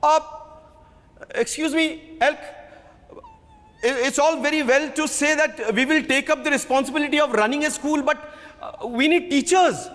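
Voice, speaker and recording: Man doing a doubtful lady, super high voice, polite n hesitant, questioning, echoey hall. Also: normal speed, long pauses, a bit bright. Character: polite but doubtful woman, theatre group secretary. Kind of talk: dramatic book reading. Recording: reverberant hall.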